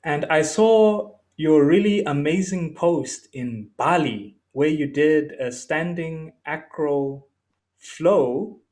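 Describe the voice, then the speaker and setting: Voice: medium pitch, takes it slowly, a little mellow. A podcast host in a casual interview, jokey and encouraging, with frequent short affirming hums.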